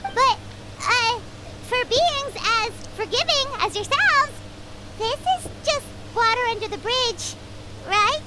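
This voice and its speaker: Very high squeaky fairy companion girl. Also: slow, full character voice, rough recording. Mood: sheepish. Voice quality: squeaky, childlike. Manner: brash, excitable, speaks of herself by name. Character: small, cheeky, talkative floating fairy companion girl. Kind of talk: English video game dub acting. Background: loud background music.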